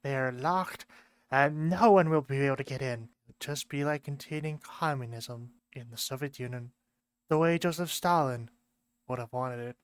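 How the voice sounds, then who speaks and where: A man voicing a pompous, grandiose communist leader in a put-on foreign accent that keeps changing, declaiming slowly. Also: mid-range voice, clear, resonant tone, dry recording, natural voice. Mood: scheming. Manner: grandiose, slow declaiming speeches. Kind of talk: amateur lore video skit.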